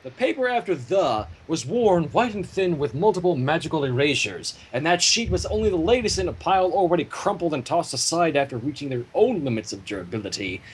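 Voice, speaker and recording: Animated man with a husky, higher-pitched voice. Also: fairly quick, few pauses, low-quality recording. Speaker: fan fiction reader and livestream host. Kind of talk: expressive story reading mixed with casual livestream banter.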